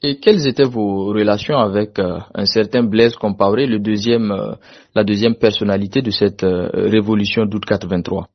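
A man's voice: on the low side, steady tone, moderate pace. A man conducting a radio interview, asking direct, formal questions. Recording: muffled lo-fi sound.

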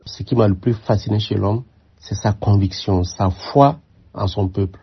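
An older man from Burkina Faso, a former military aide, answering interview questions in a measured, reflective way with frequent hesitations. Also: fairly low pitch, steady tone, vocal fry, breathy edge. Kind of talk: radio interview. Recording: muffled lo-fi sound.

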